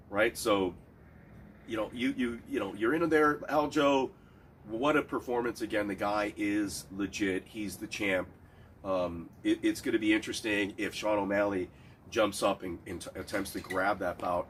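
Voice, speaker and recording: A man's voice: lowish voice, a little hiss or hum. Stuffy-nosed man rambling about fights. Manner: rambling, many restarts and fillers. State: stuffed-up nose. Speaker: cigar and MMA betting reviewer. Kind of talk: casual solo talk.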